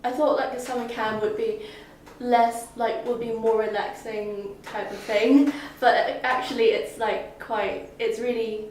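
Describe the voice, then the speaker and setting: A teenage girl from Hong Kong answers interview questions, pausing and filling gaps as she thinks. Her voice sits slightly high. The sound is distant and very echoey, with faint background noise. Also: fairly even intonation, darkish tone. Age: teens. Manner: hesitant, many "like" fillers. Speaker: summer school student.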